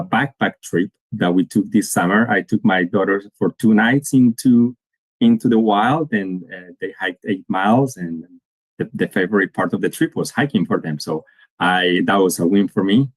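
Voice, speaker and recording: A man's voice: sits low, dry recording, poor audio. A man, giving an earnest conference talk to students and restarting his phrases often. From Colombia. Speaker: guest speaker.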